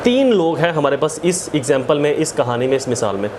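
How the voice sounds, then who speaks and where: Male law lecturer, Pakistani accent, high-pitched, emphatic and repetitive delivery, noticeable background noise. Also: big pitch swings, fairly quick. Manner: emphatic, repeats and rephrases key points. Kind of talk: explanatory lecture with English legal terms. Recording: clearly noisy background.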